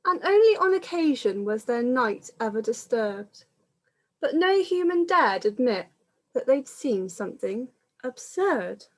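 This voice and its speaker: A woman, a primary school teacher, reading a picture book aloud to young children in a measured, explanatory manner. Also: a little high, sing-song.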